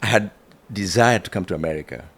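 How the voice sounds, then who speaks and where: A middle-aged man from Kenya with a Kenyan accent, telling his life story as an interview guest, animated and full of "you know". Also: sits low, fast talker, vocal fry, slightly rough.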